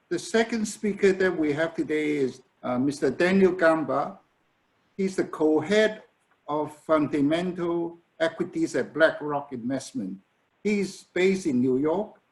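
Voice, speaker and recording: A man hosts a business webinar, introducing speakers as he goes. He pauses and restarts his phrases often. His voice sits a little high. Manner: hesitant, restarting phrases with fillers. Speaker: webinar moderator.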